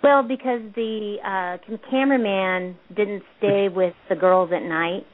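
A woman guest researcher chatting in a relaxed, rambling way, stopping now and then to recall names. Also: mid-range voice, a little slow. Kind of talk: casual interview talk. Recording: tinny phone line.

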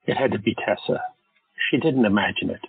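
Steady male narrator, lowish voice, phone-thin sound, soft music. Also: a little fast, vocal fry, slightly rough, no room sound, narrow, tinny audio. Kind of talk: scripted audio drama narration.

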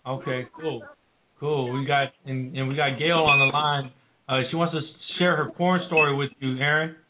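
A man's voice: medium pitch, fairly even intonation, moderate pace, very noisy. A man hosting an internet radio show, taking callers in loose, hyped-up chat. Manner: loose, hype announcer talk. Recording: phone-like thin audio.

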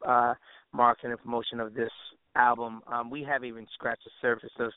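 A man with a mid-pitched voice gives a casual interview as a music artist. He talks in a loose, slangy, rambling way. The recording is dry and narrow, like a telephone call. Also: level tone, brisk, no room sound. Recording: narrow tinny phone-line sound.

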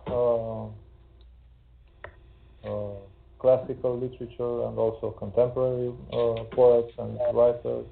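A poet and translator from Romania, a Hungarian speaker, talking hesitantly with many fillers over a video call. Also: male voice, medium pitch, vocal fry, slight background noise. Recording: tinny call audio.

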